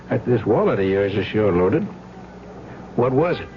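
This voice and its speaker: An actor playing a brisk, sarcastic police lieutenant in a radio drama, commanding and sardonic. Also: male voice, fairly low pitch, very animated. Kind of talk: 1970s radio drama acting. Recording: noisy, dull vintage radio broadcast.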